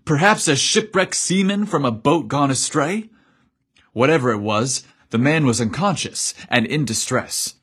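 Husky-voiced man narrating a story. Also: medium pitch, fairly bright tone. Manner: measured, descriptive storytelling.

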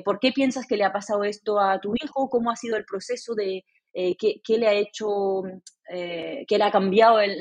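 A woman's voice: mid-range voice, a little mellow, husky. A woman podcast host who speaks the language as a second language, friendly and hesitant with many fillers in a casual interview.

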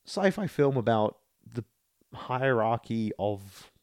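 Scottish guy on a podcast, really animated up and down delivery, playful film chat, dry close sound. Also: medium pitch, takes it slowly, dry recording. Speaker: podcast co-host. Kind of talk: casual banter about films and games.

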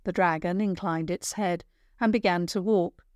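A woman narrating a children's adventure story in a measured, careful storytelling manner. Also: fairly low pitch, fairly even intonation, darkish tone, no echo, studio quality.

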